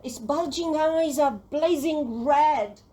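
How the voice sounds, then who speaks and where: A woman recites rhyming verse for a theatrical piece. Her voice is high. She takes the dramatic lines very slowly. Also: warm tone.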